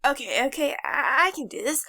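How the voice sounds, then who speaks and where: A woman's voice: sits high, animated, brisk, pushed and bright, no echo, clean studio sound, heavily acted. A woman playing Miles, a nerdy, fussy young boy, in a fan audio drama. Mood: absorbed, flustered.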